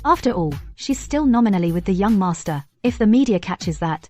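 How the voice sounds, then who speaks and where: An actress playing a deferential secretary, speaking politely and formally in an audio drama. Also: female voice, medium pitch, expressive, brisk, a little mellow, faint music behind, natural voice. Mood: dutiful. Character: deferential female secretary to a chairman. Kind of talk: dubbed audio drama acting.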